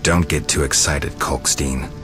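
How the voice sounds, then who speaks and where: A man acting a stoic, battle-weary monster hunter in a video game, speaking in a terse, deadpan way. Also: a bass voice, unhurried, soft background music. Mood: stoic, guarded.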